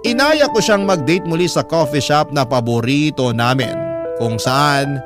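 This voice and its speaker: A man with a Filipino accent reads a personal story in scripted radio-drama narration. His voice sits a little high for a man, and music plays clearly behind him. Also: expressive, unhurried. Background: background music.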